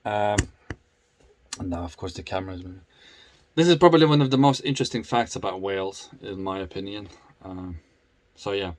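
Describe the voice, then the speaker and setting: A man's voice: sits low, a little fast, pauses often, slight background noise. A man with a foreign accent who grew up in the UK, chatting casually to camera as a motorcycle vlogger, laughing often and restarting his sentences.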